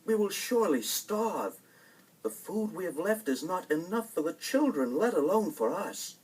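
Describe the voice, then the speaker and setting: Man as an anxious, loud, higher-pitched woodcutter father. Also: sing-song, moderate pace, slightly rough, far from the mic, full character voice, low-quality recording. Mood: anxious, despairing. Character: poor worried woodcutter, troubled father. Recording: vinyl storybook record with music behind.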